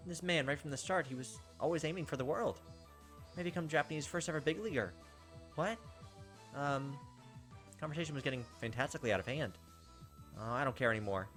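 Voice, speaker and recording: A man's voice: on the high side, fairly quick, long pauses, a bit bright, faint music behind. A man hosting a gameplay video, reading a visual novel aloud as dramatized, playful narration.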